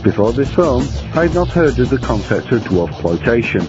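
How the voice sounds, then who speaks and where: A man's voice: mid-range voice, big pitch swings, moderate pace. A man presenting a scripted review segment in a wry, formal manner. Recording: dull, lo-fi radio-style sound. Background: prominent guitar music.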